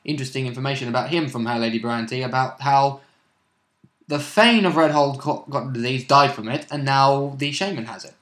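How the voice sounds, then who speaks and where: A man's voice: mid-range voice, a little fast, fairly bright tone. A man chatting casually as a player in a tabletop game, rambling into jokey, playful asides. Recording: roomy, echoing room sound.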